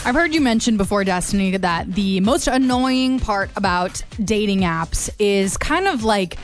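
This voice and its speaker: A woman's voice: medium pitch, lively intonation, measured pace, faint music behind. A woman hosting a radio show, upbeat and steering the chat as she reads out listener comments. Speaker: radio host. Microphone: studio mic.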